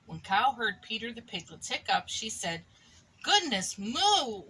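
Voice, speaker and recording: A woman reading a picture book aloud expressively to a child, pausing for little asides. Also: mid-range voice, bright, forceful tone, a little hiss or hum, rough recording. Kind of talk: animated storybook read-aloud to a child.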